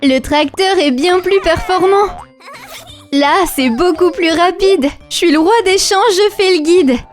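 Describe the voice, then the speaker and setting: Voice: extremely high pitch, unhurried. An actor voicing a boastful, eager young schemer in a rhyming children's cartoon, slangy and exclamatory. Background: children's music.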